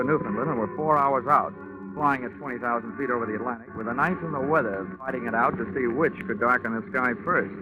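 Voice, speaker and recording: An actor playing a wry, hard-boiled American insurance investigator, narrating with deadpan wisecracks in 1940s radio drama style. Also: male voice, medium pitch, natural voice. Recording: muffled vintage radio recording, music behind.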